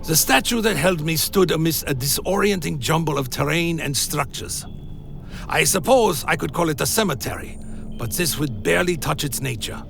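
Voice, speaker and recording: Guy as brooding vampire narrating gothic radio play, creaky gravelly voice, kinda higher pitched, dramatic and grave. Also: lively intonation, normal speed, a bit bright. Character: Alaric the Damned, brooding male vampire telling his tale. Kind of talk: scripted dark gothic first-person narration, radio play. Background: music.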